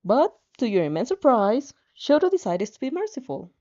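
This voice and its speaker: A woman reading fan fiction aloud in an animated way, now and then stumbling and rereading a phrase, on a slightly dull recording. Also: on the high side, normal speed. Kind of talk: animated story reading with asides.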